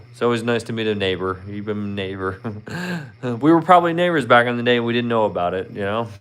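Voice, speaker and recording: A man's voice: fairly low pitch, quick pace, fairly bright tone, smooth. A man in his thirties with a Philadelphia accent, chatting casually with viewers on his livestream in a rambling, friendly way full of "you know". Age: 30s. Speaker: livestream host.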